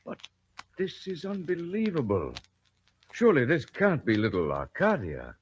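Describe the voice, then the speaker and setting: An actor playing a bashful middle-aged American bachelor trader in an old-time radio drama, with an American accent. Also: male voice, fairly high pitch, huge pitch swings, unhurried, slight background noise. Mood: amazed, admiring. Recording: muffled old radio recording.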